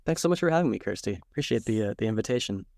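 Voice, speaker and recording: A man in his thirties, an author guest, answering interview questions in a relaxed storytelling way with frequent ums. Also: fairly low pitch, rapid-fire, gravelly, no room sound, clean studio sound.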